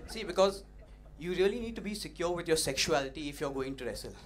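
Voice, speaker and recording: A young man doing an open-mic stand-up set, punchy and animated, teasing the audience, on a stage mic. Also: a little high, fast talker. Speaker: stand-up comedian. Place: comedy club stage. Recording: noisy live club recording.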